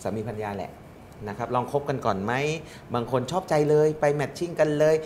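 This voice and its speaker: A middle-aged man, a studio talk show guest, explaining with lively analogies and rising emphasis. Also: mid-range voice, fairly quick, slight background noise. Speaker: guest, trade chamber secretary.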